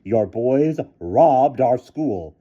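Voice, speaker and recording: A man voicing a hysterical, shrill older headmistress in a screeching falsetto, read from a storybook. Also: lively intonation, drawn-out words, highs cut off. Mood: triumphant.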